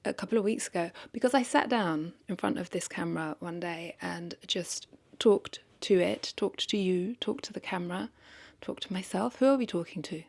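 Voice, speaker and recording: A woman with a lowish voice and plenty of vocal fry talks to the camera in a casual, confessional way. She is hesitant and reflective, circling back over her own phrases as she questions herself. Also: moderate pace, hardly any pauses, darkish tone. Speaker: vlogger.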